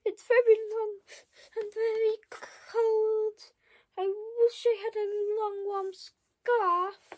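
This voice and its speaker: A young child voicing a sad, cold giraffe from a storybook in a plaintive, drawn-out way. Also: very high-pitched, little pitch movement, darkish tone, pitched above the performer's own voice.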